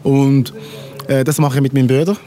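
Male musician, middle-aged, Congo-born, native Lingala, relaxed dark timbre, interviewed at an open-air festival. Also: medium pitch. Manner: unhurried, searching for words. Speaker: musician guest. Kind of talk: casual interview.

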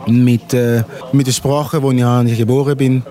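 Mellow-voiced man, native Lingala speaker. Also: mid-range voice, level tone. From Congo. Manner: unhurried, searching for words. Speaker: musician guest. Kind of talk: casual interview. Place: outdoor music festival.

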